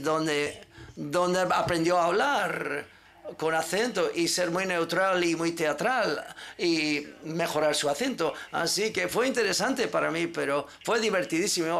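New York woman, gravelly, storytelling. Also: deep voice. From United States. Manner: lively storytelling with frequent restarts. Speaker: actress. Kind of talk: press conference answer.

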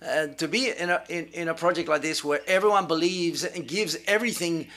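A man interpreting live, delivering phrases in halting pieces as he renders other people's remarks. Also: a little high, a bit bright.

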